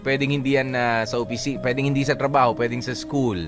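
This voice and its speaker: A Filipino man hosting a radio talk show, bantering casually in Tagalog mixed with English and joking as he haltingly builds his point. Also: medium pitch, fairly even intonation. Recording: music playing behind the talk. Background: background music.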